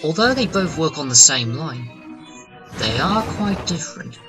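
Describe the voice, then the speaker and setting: Expressive storybook narrator over loud music. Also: mid-range voice, unhurried.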